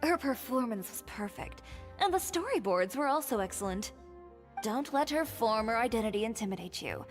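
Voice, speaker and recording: A woman playing a brisk, practical, no-nonsense sponsor in an animated video game. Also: on the high side, in a large hall, faint music behind, close to an everyday voice. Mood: persuasive. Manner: businesslike, no-nonsense, blunt. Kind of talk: animated game character acting.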